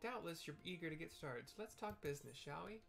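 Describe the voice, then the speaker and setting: A man plays a tiny fantasy tavern proprietress from a video game, brisk and friendly. The voice is pushed high and chats in a folksy way, with music underneath. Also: expressive, vocal fry, a bit bright. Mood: busy, welcoming. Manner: folksy, chatty, says ain't.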